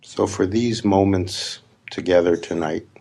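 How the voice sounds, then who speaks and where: A man leading a guided meditation as a spiritual teacher, speaking in deliberate, emphatic, repeated phrases with long pauses. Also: deep voice, fairly even intonation, creaky, warm tone. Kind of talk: slow guided meditation talk. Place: live gathering.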